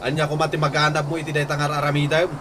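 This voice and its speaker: An actor playing a nagging, exasperated husband who complains and scolds, in an Ilocano radio comedy drama with an Ilocano accent. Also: male voice, fairly high pitch, completely flat delivery, rapid-fire, close to an everyday voice. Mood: complaining. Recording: noisy track with ambience.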